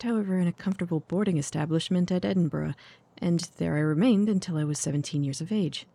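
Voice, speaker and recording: A woman's voice: lowish voice, warm tone, slightly rough. A woman playing a composed but anxious young Victorian lady in an audio drama, speaking formally and earnestly.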